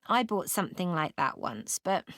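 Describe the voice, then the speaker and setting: A woman playing a student in a scripted, measured discussion, giving her opinions deliberately. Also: on the low side, sing-song, a bit bright, no echo, clean studio sound. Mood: opinionated. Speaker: voice actor in a listening exam dialogue.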